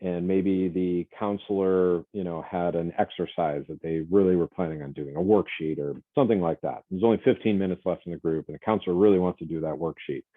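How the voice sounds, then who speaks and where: A man lecturing to a college class in a loud, projecting voice, talking conversationally with many fillers and self-corrections. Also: low voice, a little fast, few pauses, dry recording. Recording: dry, phone-like lo-fi audio.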